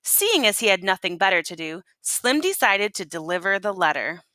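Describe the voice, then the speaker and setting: A woman reading a picture book aloud as a teacher would, in an expressive, folksy storytelling manner. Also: medium pitch, moderate pace, no room sound. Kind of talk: animated picture-book read-aloud to listeners.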